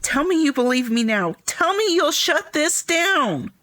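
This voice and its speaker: A woman's voice: high-pitched, sing-song, a little slow, fairly bright tone, exaggerated voice. A woman playing an alarmed, principled scientist in an audio drama, urgent and emphatic. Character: alarmed, principled female scientist, firm and pleading.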